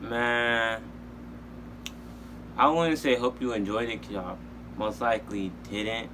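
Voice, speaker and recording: A man hosting a reaction video in casual, slangy chat with halting, repetitive phrasing. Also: mid-range voice, steady tone, background noise.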